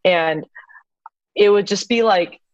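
A woman's voice: medium pitch, slow pace, dry recording, lo-fi sound. A young woman talking casually as an interview guest, chatty with lots of fillers. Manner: chatty, many fillers, laughs easily.

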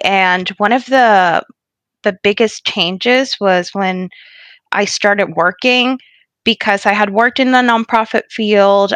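A woman's voice: mid-range voice, fairly bright tone, no echo. A middle-aged woman from Puerto Rico, a podcast guest talking casually about her life and often laughing. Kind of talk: casual interview conversation.

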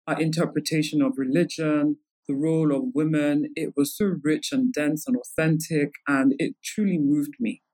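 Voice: mid-range voice, no room sound, poor audio. A podcast host who reads an upbeat scripted intro and then asks interview questions, with frequent wows and mms. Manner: upbeat, warm interjections of wow and mm.

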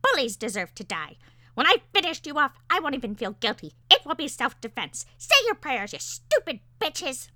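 A woman's voice: sits high, big pitch swings, a little slow, sharp tone, no echo, studio quality, pitched above the performer's own voice, full character voice. A woman voicing a small, vengeful male parakeet in a storybook tale, scolding and squawking melodramatically. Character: indignant, vengeful talking male pet parakeet, small and shrill.

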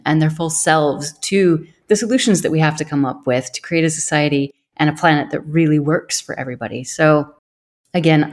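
A woman hosting a podcast, speaking earnestly and enthusiastically and laughing along with her guest. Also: deep voice. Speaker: podcast host. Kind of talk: interview, warm introduction.

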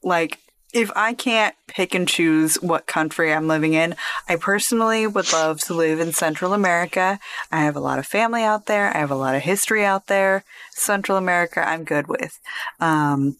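A woman's voice: fairly low pitch, moderate pace, fairly bright tone. A woman co-hosting a podcast, talking at length in a casual, confident way. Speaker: podcast co-host. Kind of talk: casual chat.

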